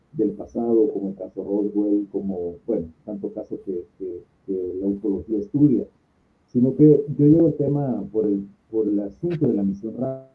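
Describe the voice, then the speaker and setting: A middle-aged man with a Salvadoran Spanish accent, answering interview questions as a guest in an earnest, explanatory way with deliberate pauses. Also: lowish voice. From San Salvador, El Salvador. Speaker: invited guest, conference speaker and contact group organizer. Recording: thin phone-like call audio.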